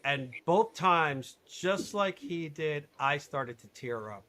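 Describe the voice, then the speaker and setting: A man hosting a live webinar interview, talking in an animated, emphatic way and laughing often. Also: on the high side, slow. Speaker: webinar host.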